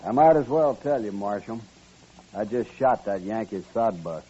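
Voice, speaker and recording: Husky, dark-voiced callous cowboy outlaw. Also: male voice, lowish voice, animated, moderate pace. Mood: sullen. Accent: American. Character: callous, sneering cowboy outlaw, cruel and unrepentant. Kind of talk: 1950s radio western acting. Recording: muffled old radio recording.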